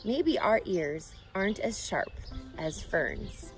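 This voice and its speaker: A woman's voice: lowish voice, very animated, takes it slowly, soft background music, heavily acted. Woman reading as an easygoing, amused farm father. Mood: amused, reassuring. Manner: unworried, wry. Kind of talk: children's storybook read-aloud.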